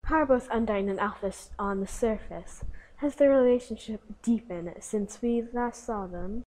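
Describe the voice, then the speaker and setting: A man's voice: sits high, normal speed, darkish tone, pitched above the performer's own voice, lightly acted, rough recording. A man reading out fan questions in a raised, put-on voice. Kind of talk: comic dub question reading.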